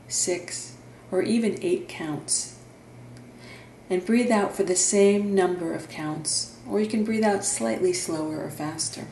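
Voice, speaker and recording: A woman leads a guided meditation at a very slow pace, with long pauses between gentle instructions. Her voice is soft-edged and mellow. It is recorded in a roomy, echoing space. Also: fairly low pitch. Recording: roomy echo, faint background noise.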